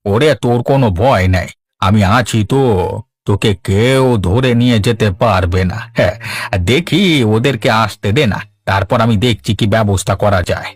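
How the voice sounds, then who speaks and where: A man's voice: lowish voice, normal speed, close to an everyday voice. A man voicing a protective, confident village boss in a cartoon, with an assured, commanding manner. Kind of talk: Bengali cartoon voice acting.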